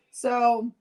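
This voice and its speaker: A middle-aged woman chatting casually to livestream viewers as she tells a personal story, acting out bits of dialogue in an animated, informal way. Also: a little high. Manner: chatty, animated retelling with acted-out dialogue and frequent "like"; addresses viewers directly. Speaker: host painting live while telling stories.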